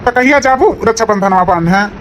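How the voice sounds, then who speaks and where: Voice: animated, fast talker, fairly bright tone, lightly acted. A performer voicing a practical, patient village husband in an Awadhi comedy cartoon, plain-spoken and questioning. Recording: faint rumble and background noise.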